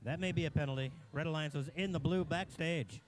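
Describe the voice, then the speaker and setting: A man with a slightly high voice gives play-by-play at a robotics competition. He announces the scores and collisions in brisk, short calls. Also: a little hiss or hum. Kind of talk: live event commentary. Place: competition venue.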